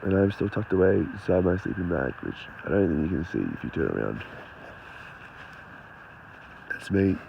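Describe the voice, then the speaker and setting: A man with a croaky, thirsty voice, speaking softly into his camera from inside a tent at dawn. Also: low-pitched, big pitch swings, moderate pace, long pauses, highs cut off, slight background noise. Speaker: hiker filming a trail diary. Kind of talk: quiet morning vlog narration.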